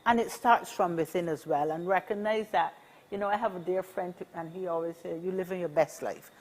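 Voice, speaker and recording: A woman's voice: on the low side, fairly quick, darkish tone, low-quality recording. Barbadian woman in her sixties, encouraging interview guest, upbeat and repetitive. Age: 60s. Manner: upbeat, encouraging, repeats key phrases. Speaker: senior advocacy organization representative. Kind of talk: casual interview conversation.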